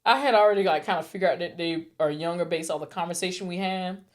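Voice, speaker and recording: A woman's voice: lowish voice, fast. A woman in her thirties, a podcast host chatting casually and acting out the voices in her stories. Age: 30s. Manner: animated storyteller, acts out quoted voices. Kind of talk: casual chat.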